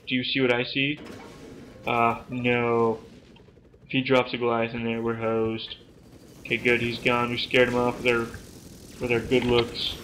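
Joking young man yelling at a game. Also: medium pitch, slight background noise, rough recording. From Canada. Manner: joking, self-mocking, bursts of yelling at the game. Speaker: gaming YouTuber. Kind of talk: gameplay commentary. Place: small room at home.

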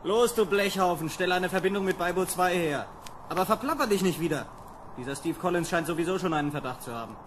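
A man's voice: high-pitched, animated, fast, background noise. A man playing an exasperated, sardonic space lieutenant who bosses his crew in an old children's radio play. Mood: irritated, sardonic. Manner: sardonic, bossy. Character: exasperated young space lieutenant commanding a landing boat.

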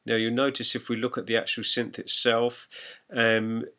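A man narrating an informal software tutorial in a conversational, explanatory way. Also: mid-range voice, completely flat delivery, normal speed, slight background noise. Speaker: music production tutor.

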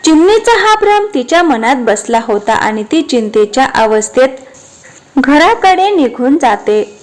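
A woman's voice: sits high, very animated, measured pace, soft background music. A woman reading scripted narration for a children's cartoon story.

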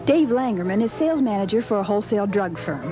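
A woman's voice: medium pitch, expressive, fast talker. An American woman reading scripted narration as the host of an old television special. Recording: degraded 1970s TV videotape, music behind.

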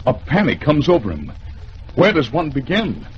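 A man's voice: fairly high pitch, wildly swooping pitch. Dramatic American man narrating old radio thriller. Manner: theatrical, suspenseful, measured phrasing. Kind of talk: dramatic 1950s radio storytelling. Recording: noisy, dull vintage radio recording.

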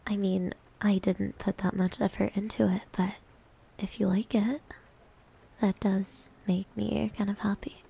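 A woman playing a proud, easily flustered maid with a hidden soft side in an ASMR roleplay, breathy and often whispering. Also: mid-range voice, flat delivery, a little slow, full character voice. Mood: grudgingly pleased. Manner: coy, grudging, flustered.

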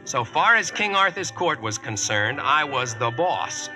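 An actor with an American accent playing a brash, slangy, wisecracking Yankee boss in a vintage radio drama. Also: male voice, mid-range voice, very animated, music in the background. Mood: boastful. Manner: slangy, brisk, cocksure. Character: brash wisecracking modern Yankee man bossing King Arthur's court. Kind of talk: 1940s radio acting and first-person narration. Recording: noisy vintage radio recording.

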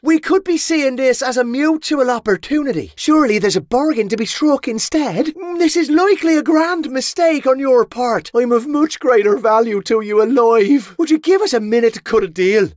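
An actor playing a cocky, wry medieval sellsword with an Irish accent and colourful, theatrical delivery in a video game. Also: male voice, very high voice, sing-song, moderate pace, few pauses, dry recording, clean studio sound. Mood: pleading, bargaining. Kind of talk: video game battle barks and voice lines.

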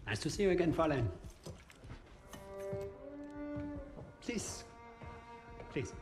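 An actor playing a menacing Nazi interrogator in a war game, theatrical and mock-polite. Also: male voice, on the high side, big pitch swings, normal speed. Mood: mock-courteous. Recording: music under the voice. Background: music.

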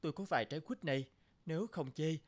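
A man's voice: fairly high pitch, quick pace, lightly acted. A man voicing a kind young peasant in a fairy-tale cartoon, courteous and earnest. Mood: gentle, helpful.

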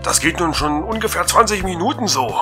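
An actor playing a nervous reporter calling in a strange scene in a radio drama. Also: male voice, high voice, animated, brisk, bright, forceful tone, faint music behind, full character voice. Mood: alarmed. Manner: tense, alarmed. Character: nervous male reporter on a rooftop. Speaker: field reporter.

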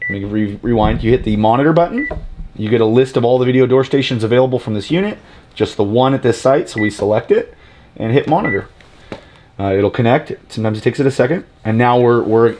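A man's voice: medium pitch, darkish tone. A man in his thirties giving an informal, matter-of-fact, step-by-step walkthrough as an installing contractor. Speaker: installing contractor demonstrating equipment. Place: building entrance, lobby and front office. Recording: noisy on-site recording with clicks.

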